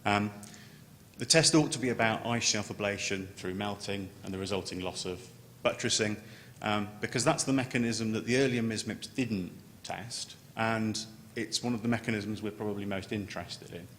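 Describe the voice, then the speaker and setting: A man giving an unscripted technical conference presentation as a scientist, halting and often repeating words as he starts a phrase. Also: a bit bright. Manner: halting, with stammered word repetitions. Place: large conference room. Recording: echoey hall, noticeable background noise, low rumble.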